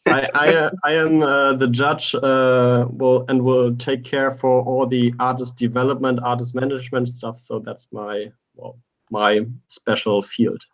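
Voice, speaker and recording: Halting German-born male judge. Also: mid-range voice, flat delivery. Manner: halting, joking. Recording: dull lo-fi call audio.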